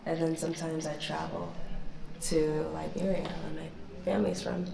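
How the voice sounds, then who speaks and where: A young woman born in DC and partly raised in Ghana, chatting casually as a podcast guest with a relaxed, pause-filled manner. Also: sits low, creaky, reverberant, a crowd in the background. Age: young adult. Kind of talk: casual chat.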